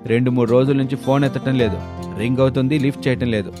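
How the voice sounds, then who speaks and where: A man's voice: medium pitch, steady tone, fast talker, music in the background, close to an everyday voice. A man voicing a harsh, confrontational moneylender in a dramatic storybook tale. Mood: angry, sarcastic. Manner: bullying, sarcastic, confrontational. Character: loud harsh male moneylender demanding repayment.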